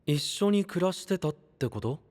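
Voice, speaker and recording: A gentle young knight in an anime audio drama. The voice is mellow, fairly high and lilting, friendly and casual, with long reverb around it. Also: male voice, lively intonation, normal speed. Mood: curious. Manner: light, friendly, casual phrasing.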